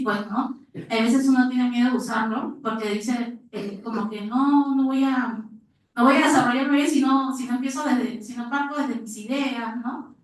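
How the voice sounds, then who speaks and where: A woman with a Peruvian accent speaking informally from the audience at a conference, telling a story and asking a question. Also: a little high. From Peru. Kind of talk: spontaneous anecdote and question to panelists. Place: reverberant indoor conference room. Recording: very echoey room, distant microphone.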